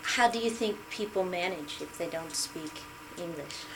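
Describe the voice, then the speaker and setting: A woman interviewing a man, giving short backchannels and asking gentle questions. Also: lowish voice, moderate pace, noisy background. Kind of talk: casual interview.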